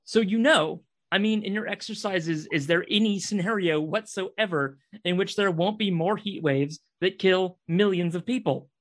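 A man's voice: high-pitched, normal speed, few pauses, natural voice. A man playing a traumatised, desperate kidnapper in a radio drama, accusing and insistent in clipped lines. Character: traumatised, desperate male kidnapper confronting his captive. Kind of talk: read radio drama dialogue.

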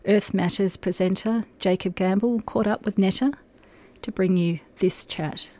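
A woman hosting breakfast radio, reading a scripted segment introduction smoothly. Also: mid-range voice, steady tone, unhurried, dark tone.

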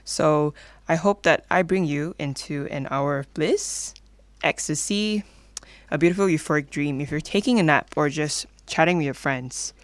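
A young woman hosts a community radio music show in a casual presenting style. She is from Malaysia, and her voice is clean and smooth. The sound is very dry, with hardly any room around it. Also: low voice, moderate pace, no echo. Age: young adult.

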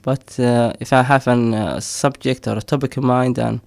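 Man, middle-aged, gravelly, dry close sound, hesitant with fillers. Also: medium pitch, steady tone, normal speed, no room sound. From Somaliland. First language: Somali. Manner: hesitant, many fillers, frequent laughs. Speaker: poet and storyteller. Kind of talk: casual interview talk.

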